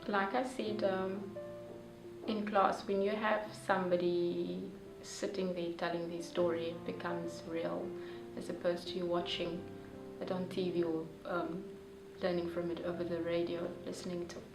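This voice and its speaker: A young woman with a low, gravelly voice that stays on one level. She talks in an open, heartfelt, hesitant way. She sounds set back from the mic in a roomy space, with guitar music behind her. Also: level tone, normal speed, far from the mic. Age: young adult. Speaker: young reader reacting to a story. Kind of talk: emotional personal reflection.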